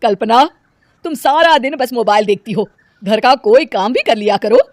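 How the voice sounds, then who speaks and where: A woman voicing a sarcastic, exasperated older mother-in-law in an animated story, scolding loudly. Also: a little high, normal speed, no room sound, clean studio sound.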